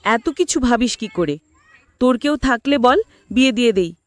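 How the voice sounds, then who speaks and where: A woman's voice: on the high side, lively intonation, moderate pace, no room sound, close to an everyday voice. A woman voicing a melancholy young man, a reluctant older brother in an audio story, brusque and doubtful. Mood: bemused, dismissive.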